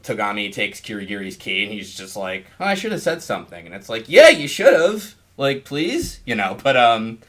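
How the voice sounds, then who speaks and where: A rather low-voiced man hosting a casual podcast interview. He rambles loosely, filling his thoughts with "like" and "you know" while he talks it through. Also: very animated, normal speed, few pauses, fairly bright tone.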